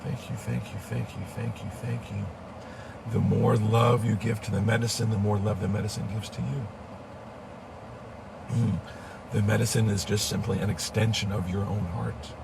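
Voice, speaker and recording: A middle-aged man with a cold, hoarse and murmuring, leading a meditative live-streamed ceremony. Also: on the low side, little pitch movement, far from the mic, background noise. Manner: slow, meditative, murmuring thanks. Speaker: spiritual leader.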